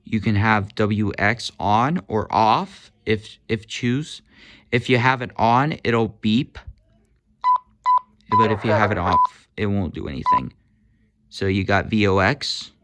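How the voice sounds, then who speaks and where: Slow, low-voiced man demoing gadgets. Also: animated, very noisy. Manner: hesitant, with frequent fillers and self-corrections. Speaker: gadget reviewer. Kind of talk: casual product demonstration.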